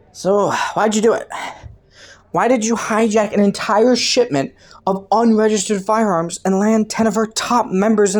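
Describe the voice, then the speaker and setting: A woman's voice: medium pitch, a little mellow. A woman voicing a clever, flirty underworld rogue in an audio roleplay, teasing and taunting a detective with sardonic, sultry playfulness. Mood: taunting, amused. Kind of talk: roleplay audio drama, intimate monologue to the listener.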